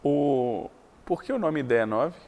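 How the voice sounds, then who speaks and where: A man's voice: on the high side, expressive, slow pace, a little mellow. A man hosting a business interview, asking direct questions with hesitant restarts. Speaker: interviewer, host.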